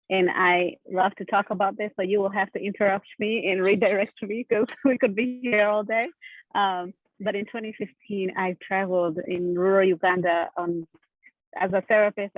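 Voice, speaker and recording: A woman's voice: fairly low pitch, no room sound. A woman from East Africa, a therapist and founder, telling her story earnestly as a panel guest. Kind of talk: panel interview answers. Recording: tinny phone-like video call audio.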